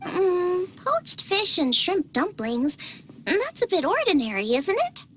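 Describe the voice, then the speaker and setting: A woman voicing a small, chirpy, naive and excitable childlike girl companion in an anime video game. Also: extremely high pitch, slow, full character voice. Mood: doubtful, eager. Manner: refers to herself by name, exclaiming, hesitant musing.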